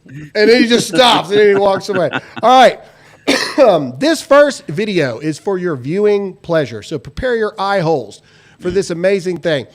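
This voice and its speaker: High-voiced joking male show host. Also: big pitch swings, moderate pace. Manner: loose, joking, lots of uh fillers. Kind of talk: casual live show chat with sponsor reads.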